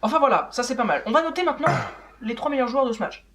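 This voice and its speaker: A man's voice: extremely high pitch, big pitch swings, fast, bright, forceful tone, a little hiss or hum. A young man, chatting informally as the host of a football show. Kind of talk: casual unedited football chat.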